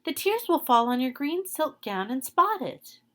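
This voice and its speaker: A kind young palace maid from a storybook, put on by a woman narrator. She fusses in a voice pitched well above the narrator's. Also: big pitch swings, a little slow. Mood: fussing.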